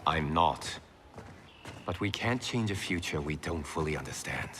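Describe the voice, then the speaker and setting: An actor playing an earnest, steadfast young warrior monk with a serious, restrained manner in a video game. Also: male voice, a bass voice, expressive, normal speed, fairly bright tone, slight background noise. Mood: earnest, resolute.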